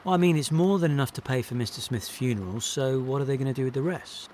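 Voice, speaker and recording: A man's voice: mid-range voice, fast talker, darkish tone, slight background noise, natural voice. A man with a British accent playing an earnest, touchy jobbing actor in a naturalistic audio drama, speaking colloquially and hesitantly. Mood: tentative, scheming. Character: jobbing actor John, earnest, wistful, touchy, working a council clearance job.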